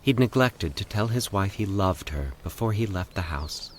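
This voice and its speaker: A man voicing a calm, eerie woman who communes with the dead, speaking in a soothing, measured monotone for an audio horror story. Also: low voice, a little hiss or hum. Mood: grave, calm.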